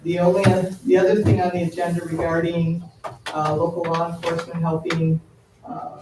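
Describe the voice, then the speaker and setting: Flat-toned man in an echoey hall. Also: on the high side, noisy background. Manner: plain-spoken, hesitant, with many uhs. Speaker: public commenter. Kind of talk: public comment at a council meeting. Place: city council chamber. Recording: echoey council chamber, distant mic.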